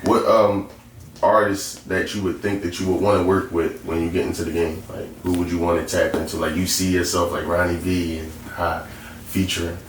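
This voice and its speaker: A man's voice: low-pitched, level tone, normal speed. A man co-hosting a podcast with casual, emphatic hype talk, often repeating his phrases. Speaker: podcast co-host. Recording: roomy echo, distant mic, faint noise.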